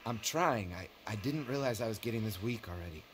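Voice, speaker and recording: An actor playing an easygoing, conciliatory ex-military survivor in a zombie video game. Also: male voice, lowish voice, animated, a little fast, a little hiss or hum, lightly acted. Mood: tired, sympathetic. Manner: conciliatory, reasonable. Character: easygoing, weakened male ex-military survivor, reasonable peacemaker.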